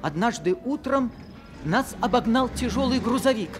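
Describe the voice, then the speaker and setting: A man's voice: very high-pitched, expressive, normal speed. A young man narrating earnestly in an old radio drama. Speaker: narrator and teenage hero. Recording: old radio play with music.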